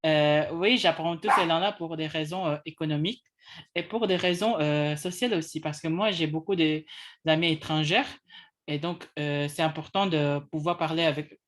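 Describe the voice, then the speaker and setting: A man's voice: a little high, fairly even intonation, normal speed, fairly bright tone. A man whose first languages are English and Indonesian, co-hosting a casual discussion and often restarting his phrases. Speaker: co-host.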